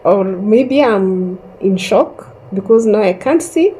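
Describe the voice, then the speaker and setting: A woman in her thirties slowly telling a personal story in a calm, reflective way, pausing often. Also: medium pitch, a little hiss or hum. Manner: slow and reflective, with long pauses. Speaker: host sharing a personal story.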